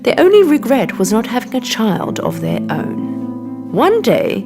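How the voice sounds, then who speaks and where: A woman's voice: on the high side, wildly swooping pitch, unhurried. A woman narrating a scripted children's story over music.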